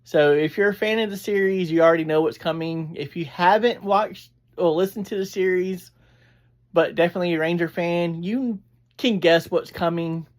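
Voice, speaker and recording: A reviewer, chatting casually in a halting, digressive way as host of a review. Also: medium pitch. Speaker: audio drama reviewer, host. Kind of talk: casual rambling review chat.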